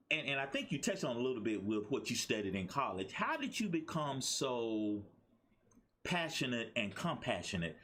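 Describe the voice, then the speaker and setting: A man's voice: medium pitch, fairly bright tone, husky. A man hosting a talk show interview, encouraging his guest with frequent affirmations.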